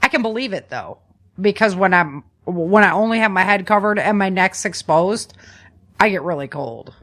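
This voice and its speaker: A woman's voice: mid-range voice, lively intonation, moderate pace, a bit bright. A woman hosting a podcast, talking informally and instructively through survival tips from notes and often checking in with a "right?". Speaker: podcast host. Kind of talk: conversational survival advice from notes.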